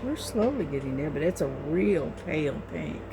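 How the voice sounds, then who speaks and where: A middle-aged woman with a low voice, talking quietly and sleepily in a rambling way while diamond painting at home, recorded on a cell phone. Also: sing-song, moderate pace, creaky, background noise. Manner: quiet, soft-spoken and rambling. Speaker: crafting vlogger.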